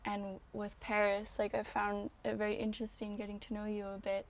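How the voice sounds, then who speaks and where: A woman hosting a conversation, introducing her guest and asking gentle, thoughtful questions. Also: medium pitch, completely flat delivery, brisk. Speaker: podcast host. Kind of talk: interview hosting.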